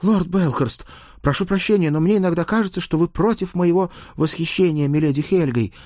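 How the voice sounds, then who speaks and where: Man voicing an eager, high-pitched lovesick page boy. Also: brisk, a little mellow, dry recording, clean studio sound, lightly acted. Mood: indignant, earnest. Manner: pleading, overwrought, breathless eagerness. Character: young lovesick earnest page boy, servile and melodramatic. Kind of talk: audiobook character acting.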